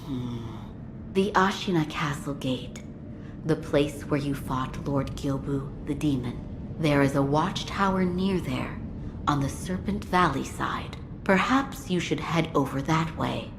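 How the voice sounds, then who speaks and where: An actress playing a calm ally who gives measured advice in a game cutscene. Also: female voice, deep voice, background noise. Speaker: ally giving directions. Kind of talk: video game cutscene acting.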